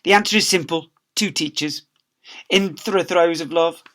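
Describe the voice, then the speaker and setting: A man's voice: fairly high pitch, normal speed, fairly bright tone. A man, a teacher, reading a storybook aloud to young children with playful, theatrical emphasis.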